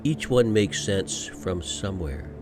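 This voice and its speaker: A man with a low-pitched voice leads a guided meditation. He speaks slowly and gently, leaving frequent pauses between scripted phrases. Soft music plays behind him. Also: expressive.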